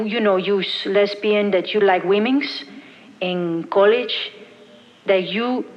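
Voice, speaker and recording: A woman's voice: mid-range voice, close to an everyday voice. Woman playing a worried puerto rican mom on a voicemail, stumbles and repeats, muffled echoey hall sound. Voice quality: halting, repeating herself, broken English. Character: worried Puerto Rican mother, middle-aged, protective, tender. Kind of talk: voicemail monologue in a stage show.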